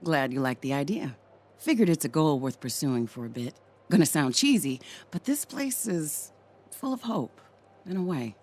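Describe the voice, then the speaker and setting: Young game scientist, wry, sarcastic, casual, hopeful underneath, mid-low for a woman. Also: sing-song, moderate pace, darkish tone. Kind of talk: video game voice acting.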